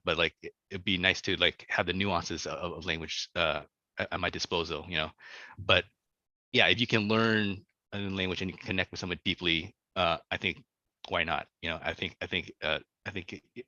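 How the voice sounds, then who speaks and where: A man's voice: deep voice, sharp tone, no echo. A man being interviewed as an author on a video call, talking casually, stammering and repeating words as he goes. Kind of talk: casual conversation. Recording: dull lo-fi audio.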